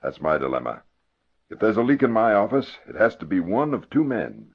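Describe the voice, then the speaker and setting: A muffled old radio recording of a grave man of authority. The voice is very low and gravelly, with animated swings as he deliberately confides. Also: heavily acted. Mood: grave, troubled. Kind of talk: 1940s radio acting. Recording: muffled vintage radio broadcast.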